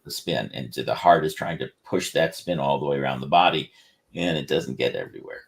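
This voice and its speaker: A man explaining his inventions on a casual livestream, rambling and halting with many restarts. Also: a bass voice, expressive, creaky. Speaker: inventor presenting research.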